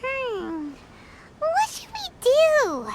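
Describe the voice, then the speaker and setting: A woman voicing a tiny, cheeky, childlike fairy companion in a video game, with a squeaky, indignant manner. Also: huge pitch swings, very slow, heavy background noise, heavily acted. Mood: questioning. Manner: indignant, speaks in third person. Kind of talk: animated video game voice acting. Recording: loud game music underneath.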